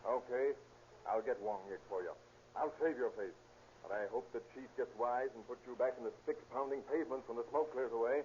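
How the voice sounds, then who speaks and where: A man acting a shrewd, hard-boiled police detective with a short fuse in a 1930s radio drama, brisk, blunt and slangy. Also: mid-range voice, lo-fi sound, natural voice. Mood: indignant, angry. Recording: noisy, band-limited old 1930s radio recording.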